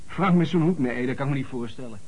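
An actor playing a sporty, obliging but vague local witness in a Dutch radio play, husky-voiced, informal and hesitant. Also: male voice, mid-range voice, fast, a little mellow, lightly acted, rough recording. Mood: chatty, frank.

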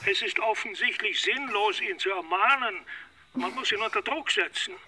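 A man's voice: high voice, moderate pace, sharp tone, slight background noise. An older man acting in a German radio drama as a stern, agitated father, speaking insistently and indignantly. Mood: agitated, reproachful. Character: agitated, stern older father disapproving of his son-in-law.

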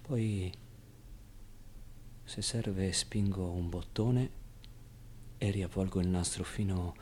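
An actor playing a streetwise, wistful orphan boy in a halting, emotional theatrical monologue. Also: low-pitched, steady tone, slight background noise. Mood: pleading, hushed. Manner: halting, emotional, swinging from outbursts to hushed confiding. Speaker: stage actor.

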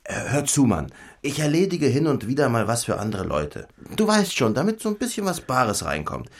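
An actor playing a brash, slangy young street hustler in a radio play. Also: male voice, medium pitch, sing-song, moderate pace, gravelly. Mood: evasive.

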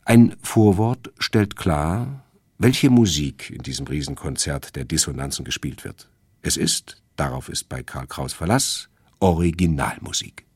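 A man delivering a scripted literary radio essay as a presenter, in a measured, emphatic and erudite manner. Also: rumbling low, expressive, a little mellow, breathy edge, no room sound. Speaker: radio presenter introducing a radio play.

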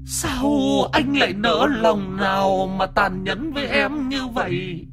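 A man's voice: high voice, slow, loud music behind the voice, voice pushed up. A man voicing a melodramatic shadow ghost that calls itself a woman, sobbing and singing its pleas like a folk-opera lament. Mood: whimpering plea.

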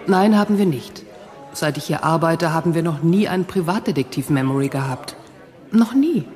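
An actor voicing a brisk, polite shop clerk with salesman's patter in a German sci-fi radio play. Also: mid-range voice, expressive, a little mellow, some chatter behind, close to an everyday voice. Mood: brisk, apologetic. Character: chatty, businesslike shop clerk selling second-hand memories. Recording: reverberant hall with music behind.

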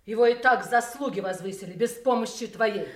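A woman's voice: a little high, a little fast, close to an everyday voice. An actress playing a cold, venomous noblewoman in a classical audio drama, mocking and cutting.

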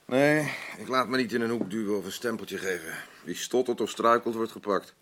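An actor playing a bitter, defensive, grieving middle-aged husband in a naturalistic radio play, blunt and grumbling, with a gravelly voice. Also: male voice, on the low side, brisk, a bit bright. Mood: scornful, defensive. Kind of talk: naturalistic radio drama acting.

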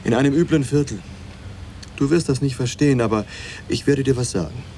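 An actor playing a brash, scornful boyfriend in a radio drama, blunt, dismissive and domineering. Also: male voice, mid-range voice, brisk, dark tone, slight background noise, close to an everyday voice. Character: brash, scornful, boastful working-class boyfriend. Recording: studio radio drama with background ambience.

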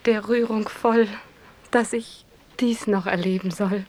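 Casting: woman as sentimental tale commentator, mid voice, slow dramatic verse, radio drama. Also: mid-range voice, steady tone, a little mellow, close to an everyday voice. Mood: moved. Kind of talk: theatrical verse radio play.

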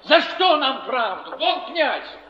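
A man's voice: extremely high pitch, very animated, slow, sharp tone, full character voice. An actor playing a plain, embittered working man in a flophouse, earnest and reproachful, in theatrical stage style. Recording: muffled echoey theatre stage.

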